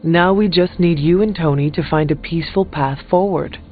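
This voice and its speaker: A man playing a calm, candid counselor and loyal friend in a video game, speaking formally and earnestly in measured phrases. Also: on the high side, narrow, tinny audio, faint music behind, close to an everyday voice. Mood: earnest, advising.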